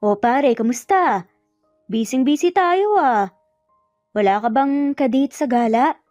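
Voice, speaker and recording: A woman's voice: high voice, expressive, slow pace, a little mellow, no room sound. A woman with a Filipino accent voicing a chummy, teasing young male office buddy in an audio story. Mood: breezy teasing. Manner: chummy, slangy, nagging. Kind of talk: audio drama story dialogue. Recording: dull lo-fi audio, music behind.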